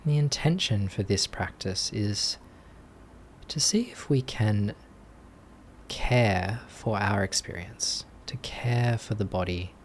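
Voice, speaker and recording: A man leading a guided meditation as a teacher, speaking gently in short phrases with long pauses. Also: lowish voice, slight background noise. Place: live online group session.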